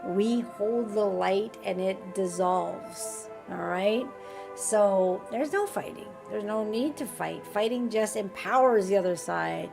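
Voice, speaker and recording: A woman with a raspy voice hosting a live group healing call. She speaks in a gentle, reassuring and informal way. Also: medium pitch, slow pace. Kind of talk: live-streamed conversational healing talk. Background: faint background music.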